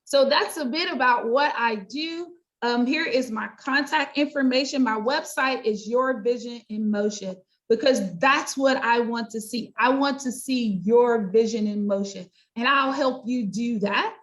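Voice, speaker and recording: High-voiced middle-aged American woman. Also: measured pace, hardly any pauses, fairly bright tone. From United States. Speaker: guest: pastor, counselor and coach. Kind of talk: conversational interview, explaining own work.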